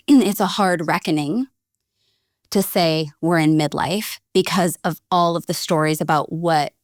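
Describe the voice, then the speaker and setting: A woman's voice: lowish voice, a little slow, dry recording, studio quality. Reflective woman coach in her forties. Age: 40s. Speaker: guest, leadership coach. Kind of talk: casual interview chat.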